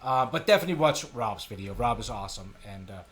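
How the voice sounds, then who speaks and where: A middle-aged man from Denmark with a Danish accent, hosting a casual live software tutorial, thinking aloud in an informal, self-deprecating way. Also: lowish voice, lively intonation, fast. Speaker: tutorial live stream host.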